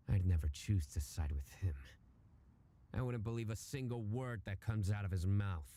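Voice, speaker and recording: A man's voice: lowish voice, mellow, lightly acted. A man playing a cold stern hunter-judge. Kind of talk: video game cutscene voice acting.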